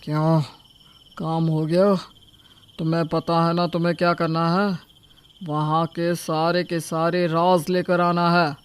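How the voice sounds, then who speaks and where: A man's voice: fairly high pitch, level tone, slow, clear, resonant tone. A man playing a stern, demanding spy handler in a story. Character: stern male spy handler giving secret orders. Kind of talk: moral story dialogue.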